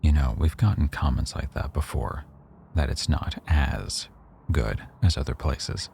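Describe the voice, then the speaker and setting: A man playing a rough-edged mafia boss who owns a cafe, teasing, probing and quietly threatening, in a boyfriend ASMR roleplay. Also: a bass voice, unhurried, slight background noise, heavily acted. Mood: playful, proud. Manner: teasing, probing, smugly self-assured.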